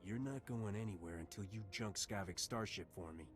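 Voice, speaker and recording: An actor voicing a wry, roguish smuggler hero in a video game, curt and self-interested. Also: male voice, fairly low pitch, a little fast, faint music behind, natural voice. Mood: wry, cocky.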